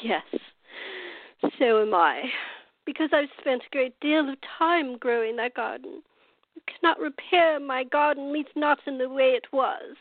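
A woman's voice: sits high, unhurried, no room sound. A woman playing a cantankerous, commanding woman in her eighties in radio theater, stern, formal and scolding. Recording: tinny, phone-like narrow band.

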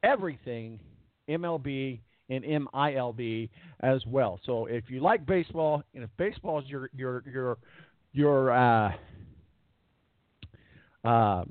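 A man hosting a sports radio show in casual chat, rambling with fillers and repeated words, with a voice that is going hoarse. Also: medium pitch, unhurried, pauses often, no echo. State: losing his voice. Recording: tinny phone-line quality, dry.

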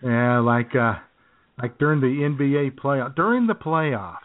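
A man co-hosting a comedy podcast, rambling and joking through his stories. His voice sits at an ordinary middle pitch. The sound is thin and tinny, like a phone line. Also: animated, unhurried. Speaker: podcast co-host. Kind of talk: casual comedic chat.

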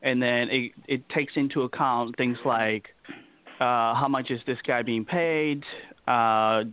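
A man's voice: medium pitch, steady tone, takes it slowly, clean tone. A man, a company co-founder, answering interview questions, explaining patiently with many fillers. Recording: dry, tinny phone-line audio.

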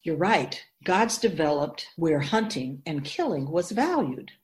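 A woman playing an ageless, wise spirit guide in a radio drama, explaining in a measured, emphatic, instructive way with deliberate pauses. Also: sits low, sing-song. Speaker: teacher figure in a radio drama.

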